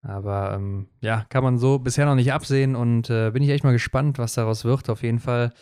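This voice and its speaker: Male co-host with a western German border accent, chatty, crisp voice, dry close sound. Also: medium pitch, steady tone, fairly quick, a little mellow, no echo. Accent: German, western border region. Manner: chatty, often ends with a tag question. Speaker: podcast co-host. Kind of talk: casual sports chat.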